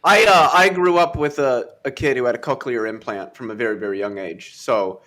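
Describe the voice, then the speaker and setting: A man's voice: medium pitch, moderate pace, bright, forceful tone. A man in casual chat, joking in a crude, sardonic way.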